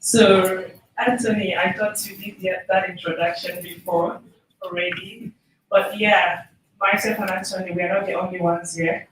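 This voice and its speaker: A woman's voice: medium pitch, animated, normal speed. Woman presenter in a very echoey room. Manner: informal, engages audience with questions. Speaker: presenter, program officer. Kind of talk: interactive workshop presentation. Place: conference room. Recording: echoey room, distant mic, noisy.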